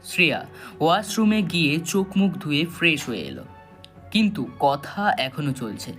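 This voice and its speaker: A man tells a scripted thriller as its narrator. His voice sits a little high, the delivery is dramatic and suspenseful, and music runs behind it. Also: very animated, normal speed, a bit bright. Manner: suspenseful storytelling. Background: background music.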